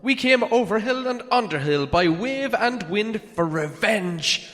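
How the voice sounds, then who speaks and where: A man playing a small, flustered hobbit in a storybook reading, halting and earnest as he tries to sound brave, in cavernous reverb. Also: sits high, expressive, fairly bright tone, voice pushed up. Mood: nervous defiance. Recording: long cavernous reverb effect.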